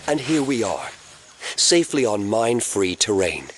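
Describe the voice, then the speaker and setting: An actor playing a smug, calm man who runs a secret facility, speaking formally and condescendingly in an audio drama. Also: on the low side, background noise. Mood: calm, commanding. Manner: condescending, measured, formal wording.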